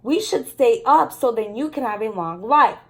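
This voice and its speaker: A woman's voice: on the high side, lively intonation, moderate pace. A woman voicing an earnest, anxious young girl from a storybook, asking things in a questioning way. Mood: worried.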